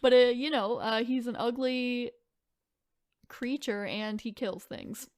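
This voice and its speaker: A woman with a fairly high voice chats as a podcast co-host. Her talk is playful, informal and peppered with swearing and "like". The sound is very dry. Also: takes it slowly, a little mellow, no room sound. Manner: informal and profane, with frequent "like". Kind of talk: casual playful banter.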